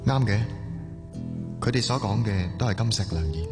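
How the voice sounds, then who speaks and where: A man's voice: lowish voice, animated, moderate pace, long reverb tail, over loud music, natural voice. An actor playing a stubborn young man who defends his older lover, sullen and clipped, with a Hong Kong Cantonese accent. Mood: bitter. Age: young adult. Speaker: young IT worker in love. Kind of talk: 1990s radio drama acting.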